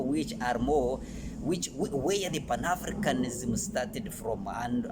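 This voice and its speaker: Emphatic Ugandan man over background noise. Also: medium pitch. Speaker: presenter of an educational channel. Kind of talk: informal educational presentation to camera.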